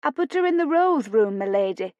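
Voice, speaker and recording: Woman acting a high-voiced, apologetic young maid. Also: animated, a little fast, darkish tone, no echo, dull audio, lightly acted. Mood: deferential. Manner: deferential, flustered. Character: timid, apologetic young maid, respectful and eager.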